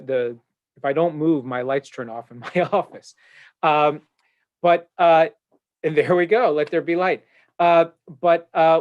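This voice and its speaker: A man speaking as a rabbi on a discussion panel, earnest and eloquent with frequent filler uhs, talking from his office over a video call. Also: on the high side. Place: home office.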